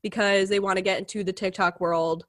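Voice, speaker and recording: A young woman co-hosting a podcast in casual chat, her voice a little raspy as she recovers from illness. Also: mid-range voice, steady tone, brisk, a bit bright, no echo. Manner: chatty, upbeat, lots of "like". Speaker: podcast co-host.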